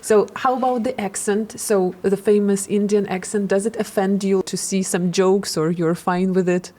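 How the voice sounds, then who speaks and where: A woman, an English teacher hosting a casual podcast interview, asking questions with quick affirmations. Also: medium pitch, steady tone, dark tone. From Russia.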